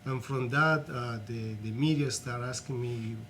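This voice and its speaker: A man with a Colombian accent and a mid-pitched voice speaks as an interview guest. He is earnest and reflective, feeling for words in his second language. A faint noisy rumble sits behind him. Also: fairly even intonation, fairly bright tone. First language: Spanish. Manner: earnest, reflective, halting non-native English. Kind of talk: personal storytelling in an interview.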